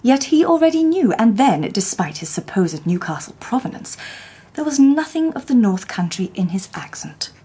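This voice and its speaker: Casting: a composed Victorian lady sleuth, husky, mellow, dry wit. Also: a little high, big pitch swings, normal speed. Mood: calm. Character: shrewd, composed Victorian woman detective. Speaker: lady detective narrating and speaking. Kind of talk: radio drama narration and dialogue.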